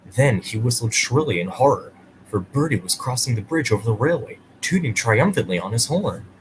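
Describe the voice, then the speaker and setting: A man's voice: medium pitch, animated, moderate pace, slight background noise, rough recording. A man hosting and reading a children's bedtime story aloud. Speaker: storyteller and host.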